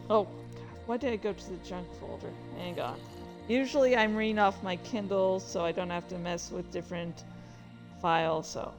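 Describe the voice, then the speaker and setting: A woman reading their own fan fiction aloud as a streamer, moving between story narration and casual asides. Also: mid-range voice.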